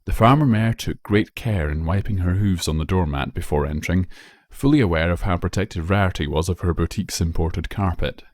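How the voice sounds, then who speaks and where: A man's voice: low-pitched, brisk, darkish tone, no echo, clean studio sound. A man reading scripted fan fiction as a storyteller.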